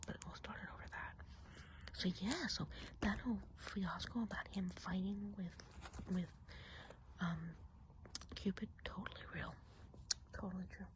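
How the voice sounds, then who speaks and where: A woman whispering softly and breathily in a chatty, confiding ASMR roleplay as a gossipy witch of the woods. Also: medium pitch, poor audio. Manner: confiding, unhurried, casual gossip. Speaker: ASMR performer.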